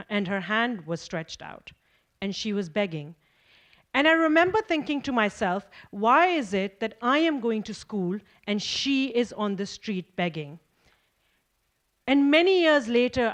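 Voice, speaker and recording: A woman in her forties from Pakistan, speaking on a forum stage and telling her life story in a measured, earnest way, with rhetorical questions. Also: a little high, fairly bright tone. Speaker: guest speaker, filmmaker. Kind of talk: storytelling keynote talk.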